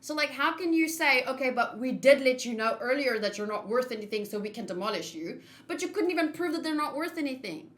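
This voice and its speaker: A woman's voice: high-pitched, expressive, brisk, hardly any pauses, a bit bright. A woman giving casual live game commentary, explaining her opinions in a chatty way as a streamer.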